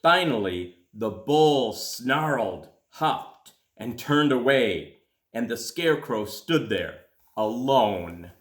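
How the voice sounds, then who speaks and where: A man reading a children's storybook aloud in a deliberate, expressive way with dramatic pauses. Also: medium pitch. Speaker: school principal reading aloud. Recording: echoey room.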